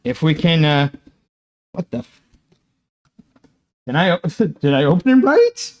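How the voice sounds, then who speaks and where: A young Canadian man chats his way through opening packages from fans, his high voice leaping up and down. He takes his time, full of fillers, restarts and sudden bursts of excitement. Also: a little slow. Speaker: channel host opening fan mail. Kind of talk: casual unboxing chat.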